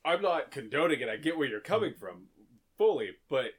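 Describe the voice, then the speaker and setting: A man co-hosting a podcast, talking loudly and rambling in casual jokes in an echoey room. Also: fairly high pitch, big pitch swings, a little fast, slightly rough. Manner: loud, joking, rambling. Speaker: podcast co-host. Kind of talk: casual chat.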